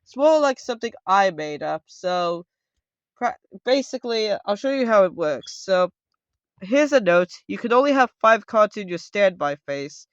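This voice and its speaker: High-voiced man explaining, dull lo-fi sound. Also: very animated, normal speed, smooth, no room sound. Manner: loud, rambling, self-correcting. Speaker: host of a card game tutorial video. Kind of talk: casual unscripted how-to explanation.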